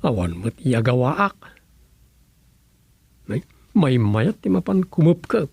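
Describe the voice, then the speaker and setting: Man acting musing writer, gravelly husky voice, hesitant muttering to himself, radio drama. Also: medium pitch, very animated, slow, darkish tone, full character voice. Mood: pondering, muttering. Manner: hesitant muttering, thinking aloud.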